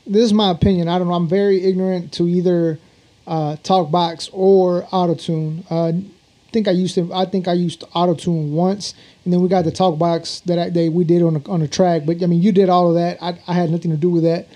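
High-voiced, mellow Texan male host. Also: little pitch movement, fairly quick, dull audio. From Houston, Texas. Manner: informal, slangy. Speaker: interview show host. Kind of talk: casual interview chat.

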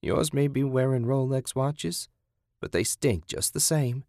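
Man voicing a sneering, sadistic backwoods woman, pitched up. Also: unhurried, a little mellow, dry recording, clean studio sound, close to an everyday voice. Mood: scornful preaching. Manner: sneering, lecturing, sugary condescension. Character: sadistic cannibal woman, mocking, self-righteous, folksy. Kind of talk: audiobook horror character voice.

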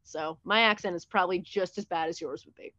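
A young woman talking as a guest on a podcast, her voice pitched a little low and recorded dry and close with a somewhat dull, lo-fi tone. She chats in an animated, profane way, with quick jokes and a lot of "like.". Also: no echo. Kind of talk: casual chat.

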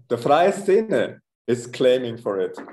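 A man's voice: medium pitch, big pitch swings, slow pace, darkish tone, slight background noise. A man speaking as an interview guest, hesitant, with many fillers and restarts. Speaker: guest from an arts network. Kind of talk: conversational interview.

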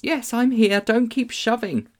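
A man voicing a sharp, spirited little girl in a storybook, quick and forthright. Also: extremely high pitch, voice pushed up. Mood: cross.

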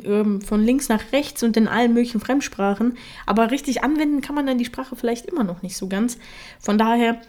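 A woman's voice: on the high side, warm tone. A young woman hosting her own podcast, who grew up in Germany with Russian as her first language, talking casually with many fillers. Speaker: podcast host. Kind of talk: casual solo podcast talk.

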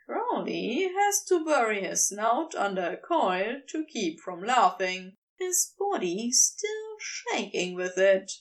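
Female audiobook-style fiction reader, unhurried and very melodic, recorded slightly distant. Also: a little high. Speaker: podfic reader. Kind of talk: scripted fiction narration.

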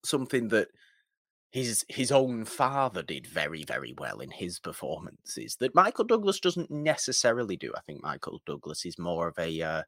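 A man co-hosting a film podcast, chatting casually in a halting, rambling way with emphatic repetition. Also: sits low, huge pitch swings, fairly bright tone, no room sound, studio quality.

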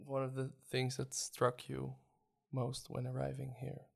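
A man's voice: medium pitch, monotone, measured pace, gravelly. A man with a Dutch accent hosting an interview, asking questions with hesitant restarts and ums. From Netherlands. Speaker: podcast host. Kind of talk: interview conversation.

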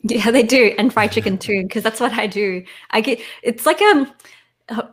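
A woman's voice: a little high, normal speed, husky. A woman giving casual, candid answers as an interview guest, correcting herself as she goes. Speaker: interview guest, online content creator.

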